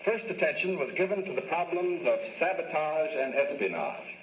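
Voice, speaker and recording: A man reading formal, scripted 1940s newsreel narration in a measured, authoritative official tone. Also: fairly high pitch, very animated, a little hiss or hum, poor audio. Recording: old 1940s newsreel soundtrack with music. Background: music score.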